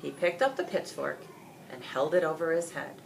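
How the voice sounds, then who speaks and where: A woman reading a story aloud as expressive scripted narration. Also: sits low, moderate pace, creaky, slight background noise, poor audio. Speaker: storybook reader.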